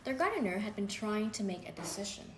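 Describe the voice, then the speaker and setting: Woman narrator, lively pitch, bedtime storybook read in an echoey room with faint noise. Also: a little fast. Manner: gentle, expressive reading with voices for characters. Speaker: storybook reader.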